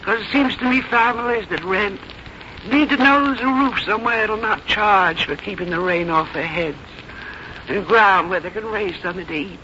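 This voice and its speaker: A woman's voice: mid-range voice, normal speed, pushed and bright, close to an everyday voice. An actress playing a plain-spoken, strong-willed mother in her seventies in a 1950s radio drama, with a folksy, firm way of talking. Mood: earnest, firm. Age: 70s. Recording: dull, noisy old radio broadcast recording.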